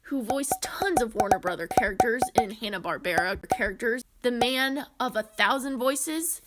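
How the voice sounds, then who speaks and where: A woman's voice: on the high side, moderate pace, heavy background noise. A woman in her twenties presenting a scripted video essay as its narrator. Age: 20s.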